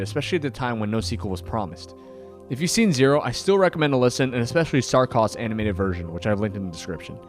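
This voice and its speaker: A man presents a scripted fan commentary video. His delivery swings widely in pitch, with enthusiastic, dramatic emphasis. Light music plays behind his mid-pitched voice. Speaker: video host and anime fan. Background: background music.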